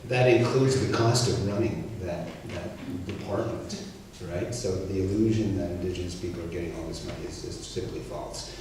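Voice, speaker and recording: A man's voice: low voice, moderate pace, few pauses, darkish tone. A man with a Canadian accent speaking as a guest, answering audience questions in a conversational, explanatory way with hesitant starts. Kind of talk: answering audience questions after a public talk. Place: church hall. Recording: very echoey hall, distant mic, faint noise.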